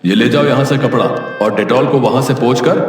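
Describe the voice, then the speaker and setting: Bossy middle-aged miser merchant for a cartoon male actor, mid-pitched, scolding, very echoey and distant with music behind. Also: brisk, natural voice. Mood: fussy. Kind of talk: Hindi cartoon voice acting.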